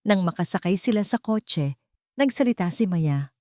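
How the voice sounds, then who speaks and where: A woman narrates a scripted romantic story. Her voice sits low for a woman. The recording is dry, with very little room sound. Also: animated, normal speed, dark tone, no echo.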